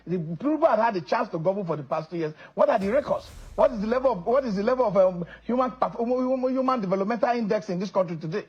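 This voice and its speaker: A middle-aged Nigerian man with a husky, high-pitched voice argues his case at a rapid clip. He presses insistently and restarts his words as he pushes on. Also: expressive, dull audio. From northern Nigeria. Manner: insistent, repeats words when pressing a point. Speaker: political guest. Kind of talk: heated political interview.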